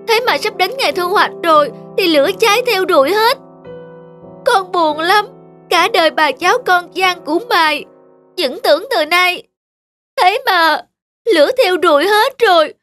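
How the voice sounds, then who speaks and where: A woman voicing an earnest poor young farm boy in an animated fairy tale, plaintive and full of exclamations. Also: extremely high pitch. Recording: music under the voice.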